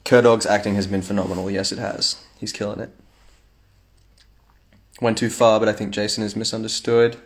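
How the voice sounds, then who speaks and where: A man's voice: on the low side, little pitch movement, normal speed, long pauses. A young man chatting casually on a phone livestream at home, reading fan comments aloud with playful asides.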